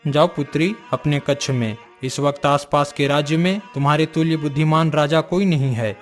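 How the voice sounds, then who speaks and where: A man's voice: fairly high pitch, moderate pace, soft background music, close to an everyday voice. A man voicing a dignified middle-aged king and father in a cartoon story, speaking in a formal, courtly way. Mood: disappointed.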